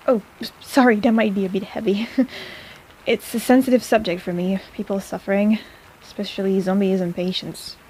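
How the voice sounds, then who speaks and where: A woman's voice: medium pitch, a little mellow, slight background noise, natural voice, poor audio. A woman playing a snarky but caring apothecary in an intimate fantasy audio roleplay, chatty and teasing in a casual way. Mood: somber, sympathetic.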